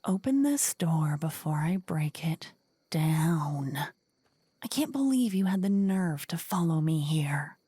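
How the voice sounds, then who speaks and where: A woman playing an indignant, blunt young necromancer rival in a fantasy ASMR roleplay, hushed and insistent. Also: deep voice, lively intonation, slow pace, husky, no room sound, exaggerated voice. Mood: angry. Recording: close dry ASMR microphone.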